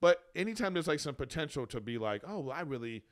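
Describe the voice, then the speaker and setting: A man's voice: a little high, big pitch swings, quick pace. A man of about fifty co-hosting a podcast, giving advice in casual, rambling, emphatic explanations. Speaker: podcast co-host.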